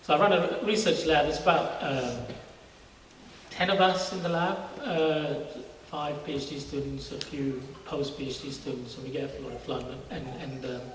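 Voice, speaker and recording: A man's voice: a little high, fairly even intonation, moderate pace. Middle-aged man in a very echoey hall. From Singapore. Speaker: interview guest, university research scientist. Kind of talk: informal testimony interview. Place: large church hall, indoors. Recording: very echoey church hall, distant mic, faint noise.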